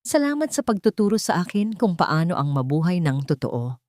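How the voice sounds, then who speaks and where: A woman with a Filipino accent voicing a gentle, earnest rich man in an audiobook, solemn and measured. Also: on the low side, very animated, dry recording, studio quality. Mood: tender, proud. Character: gentle, earnest wealthy older man in love. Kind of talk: audiobook character dialogue.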